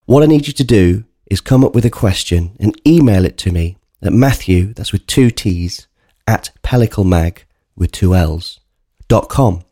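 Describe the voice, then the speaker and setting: A man's voice: on the low side, expressive, moderate pace, mellow, dry recording, clean studio sound. A man with a cold, sounding bunged up, chatting earnestly and directly to listeners as a podcast host. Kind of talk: casual spoken podcast introduction.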